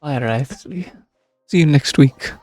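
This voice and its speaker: A man's voice: fairly high pitch, unhurried, a little mellow. A man streaming a video game, giving casual reaction commentary. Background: game music.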